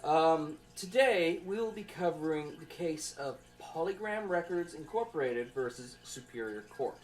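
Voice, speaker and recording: Young man, slightly high voice, presenting informally. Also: expressive, measured pace. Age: young adult. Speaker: student presenter. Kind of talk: informal class presentation from notes.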